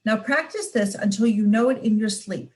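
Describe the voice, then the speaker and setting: A woman voicing a patient, fond father teaching his daughter to dance, in a gentle, coaxing manner. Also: medium pitch, expressive, moderate pace, natural voice.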